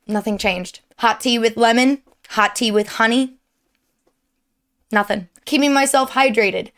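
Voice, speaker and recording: A young woman with a sore, strained throat giving an earnest, rambling update to her viewers from her desk at home. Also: a little high. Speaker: streamer and YouTuber. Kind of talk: candid personal update to viewers.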